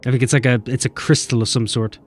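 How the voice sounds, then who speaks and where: A man's voice: medium pitch, level tone, quick pace. A man streaming a video game, making offhand, profane jokes about what's on screen. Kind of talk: casual live-stream commentary. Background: game music.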